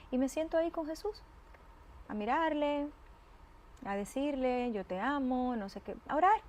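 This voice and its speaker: A woman's voice: fairly high pitch, a little mellow. Effusive woman hosting faith show. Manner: effusive, reverent, lingering on pious phrases. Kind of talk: warm devotional interview.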